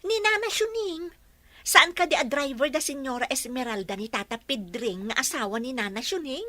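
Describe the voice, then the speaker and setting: Woman as young gossipy friend, very high forceful voice, theatrical, chatty, close dry sound, radio comedy. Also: animated, normal speed, no echo. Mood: gossipy, excited. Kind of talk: comedy radio drama acting.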